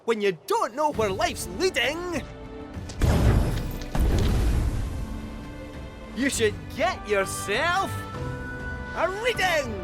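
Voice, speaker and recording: High-pitched Scottish robot cat. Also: male voice, very animated, takes it slowly, exaggerated voice. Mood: showy, singsong. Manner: theatrical, wordy, playful. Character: cheerful chatty robotic cat fortune-teller. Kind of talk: video game cutscene acting. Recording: loud music over the voice.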